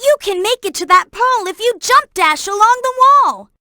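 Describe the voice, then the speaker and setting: A woman voicing a young boy fox sidekick in a video game, earnest, helpful and excitable, with clear childlike delivery. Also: very high voice, moderate pace, no echo, studio quality, heavily acted. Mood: earnest, instructive. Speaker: video game character giving hints. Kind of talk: game voice acting, tutorial hints and alerts.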